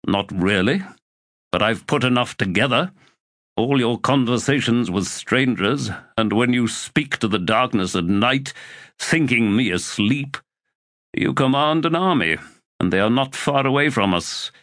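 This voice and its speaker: A man's voice: mid-range voice, expressive, a little slow, a bit bright, no echo, studio quality, voice pushed up. A man voicing Torrent, a weary and defiant young male warrior, in an audiobook reading.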